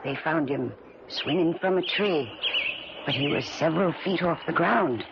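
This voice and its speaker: A man voicing a gossipy rustic older woman, a folksy lakeside neighbor who talks haltingly, in old-time radio horror style. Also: a little high, creaky. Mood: ominous gossip. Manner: halting, folksy, dragging out the news. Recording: noisy, dull old radio recording.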